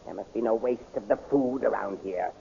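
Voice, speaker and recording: A man's voice: lowish voice, fairly quick, gravelly, dark tone. A man playing a hesitant, grumbling court magician in an old radio drama, stammering with many ums. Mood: scolding. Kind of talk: 1930s radio drama acting. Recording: dull lo-fi old radio recording, faint hiss.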